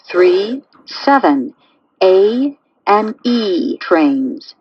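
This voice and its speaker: A woman making pre-recorded subway train announcements in a measured, formulaic way. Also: lowish voice, no echo, muffled recording. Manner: measured, clearly phrased, formulaic delivery.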